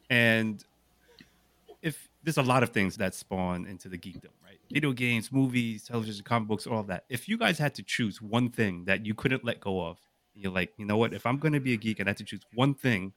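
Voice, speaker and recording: A man with a fairly low voice, recorded dry and close. He hosts in a casual, conversational style, laying things out in short emphatic phrases and checking in with questions. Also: fairly bright tone, no echo. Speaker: podcast host. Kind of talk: casual chat, explaining and questioning.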